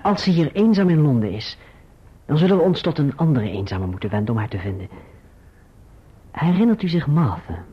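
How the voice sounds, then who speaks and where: An actor playing an earnest, courteous young gentleman who also narrates his own story in a Dutch radio play. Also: a little high, sing-song, fairly quick, pauses often, breathy edge. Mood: earnest, urgent. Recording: muffled old radio recording.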